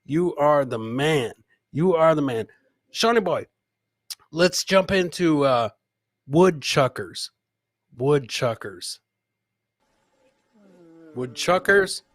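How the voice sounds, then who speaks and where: A man's voice: fairly high pitch, expressive, takes it slowly, long pauses, no room sound, clean studio sound. A middle-aged man hosting a live comedy show, bantering casually with chat and repeating phrases like a hype man. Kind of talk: casual livestream banter, reacting to clips and chat. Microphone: close podcast mic on boom, headset.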